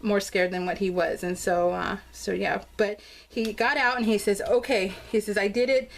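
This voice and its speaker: American lady doing a crafty vlog, bubbly n gushy, kinda rambly, normal pitch, just chatting. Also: steady tone, normal speed, creaky, low-quality recording. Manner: bubbly, gushing, giggly, rambling. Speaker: crafting vlogger showing scrapbook pages. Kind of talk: casual unscripted craft vlog chat.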